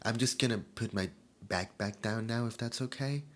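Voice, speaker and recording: A man's voice: mid-range voice, normal speed, noisy background, lightly acted. A man voicing a chatty, awkward immortal demon who summons time, rambling with many ums and asides and sometimes dropping to a whisper, in an ASMR roleplay. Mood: friendly. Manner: rambling and self-conscious, full of ums and asides; drops into soft whispers at times.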